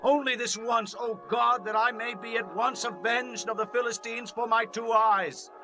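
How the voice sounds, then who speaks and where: A man's voice: very high voice, steady tone, normal speed. An actor playing a blinded Israelite strongman, weary, pleading and defiant, in a dramatized scripture reading with music behind him. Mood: desperate pleading.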